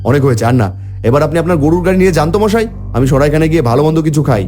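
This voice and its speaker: A man's voice: expressive, rapid-fire, dark tone, soft background music, lightly acted. A man playing a village man who tells his own horror story dramatically in a cartoon. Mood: impatient. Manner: theatrical, rising into high pleading. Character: frightened, hungry village man telling his ghost tale. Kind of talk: dramatic first-person horror story narration.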